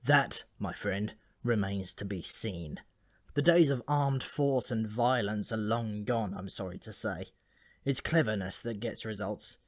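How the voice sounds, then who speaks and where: A man's voice: fairly low pitch, a little slow, no echo. Ancient dwarf for a fantasy audiobook , acted by a man: dyspeptic, gloomy, rambling, grudgingly friendly, recorded dry. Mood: gloomy, grumbling.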